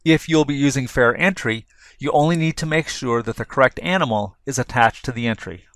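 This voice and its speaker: A man reading a scripted training narration in a measured, instructional way, setting out points step by step. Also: mid-range voice, a bit bright, no echo, studio quality.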